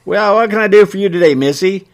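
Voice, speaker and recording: A man's voice: sits high, quick pace, vocal fry, pitched above the performer's own voice. A man voicing a cheery, folksy small-town storekeeper in a story, with an obliging sales patter.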